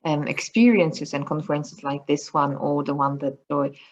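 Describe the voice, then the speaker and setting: A woman's voice: sits low, steady tone, moderate pace, dull audio, slight background noise. A woman moderating an online conference Q&A over a video call, speaking hesitantly with many fillers.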